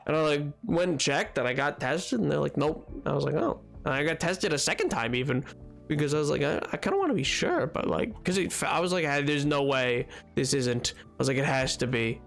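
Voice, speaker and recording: Hoarse guy streaming a game, kinda high voice, rambly and excitable, quiet music in the back. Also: brisk. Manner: rambling, excitable, jumps between topics. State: hoarse from a cold. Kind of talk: casual chat with stream chat while gaming.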